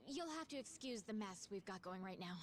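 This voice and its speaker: A woman's voice: mid-range voice, lively intonation, fairly quick, slight background noise, natural voice. A woman playing a polite, earnest young woman in a video game. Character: polite, troubled young woman running family company. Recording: music behind the voice.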